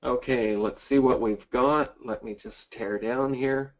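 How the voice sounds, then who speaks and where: A man's voice: mid-range voice, steady tone, a little slow. A man from Canada hosting a live sales webinar, answering chat questions in a casual, upbeat way with many fillers. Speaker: webinar host. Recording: dull lo-fi audio.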